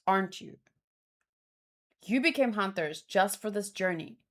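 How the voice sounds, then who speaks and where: A woman reading dialogue aloud in an informal, expressive way, mixed with casual commentary of her own. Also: mid-range voice. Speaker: manga read-along host.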